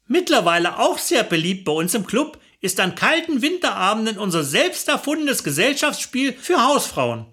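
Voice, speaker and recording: Older woman, comic monologue, chatty, chuckling, lively pitch. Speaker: comic storyteller.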